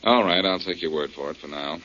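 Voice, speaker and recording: A wisecracking radio detective, muffled recording. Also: male voice, sits low, a little fast, sharp tone. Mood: confident probing. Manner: wisecracking, glib. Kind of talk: 1940s radio detective drama acting. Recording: dull lo-fi 1940s radio recording.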